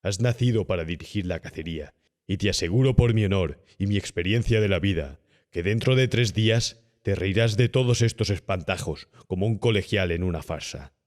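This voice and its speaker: A man voicing a cynical, calm, domineering young gentleman body snatcher who talks persuasively and condescendingly in an audiobook horror story. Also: sits low, fairly quick, voice pushed up, lightly acted. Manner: smooth-talking, persuasive, condescending.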